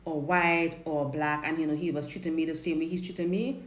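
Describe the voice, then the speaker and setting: A woman's voice: deep voice, fairly even intonation, a little fast. A woman from Trinidad with a Trinidadian accent, a dating coach chatting frankly while answering viewer questions on a live stream. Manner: chatty, frank, repeats and restarts words. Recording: roomy live-stream audio with faint noise.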